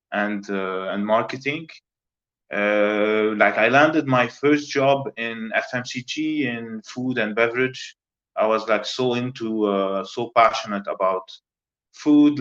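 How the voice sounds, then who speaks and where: A man in his thirties from Lebanon, a guest talking casually in an interview, relaxed and hesitant with many fillers and repeated words. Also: on the low side. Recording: slightly dull lo-fi audio.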